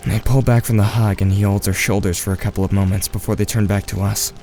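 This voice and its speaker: A man playing a watchful, tentative teenage boy, giving measured first-person narration in an audio drama. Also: lowish voice, flat delivery, warm tone, faint music behind, lightly acted. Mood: measured, tense.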